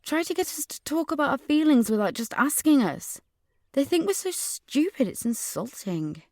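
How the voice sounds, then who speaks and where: A woman's voice: high voice, sing-song, moderate pace, a little mellow, no room sound, clean studio sound. A woman playing an angry, suspicious teenage schoolgirl in an audio drama, earnestly narrating her memories and visions and speaking in dialogue. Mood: indignant.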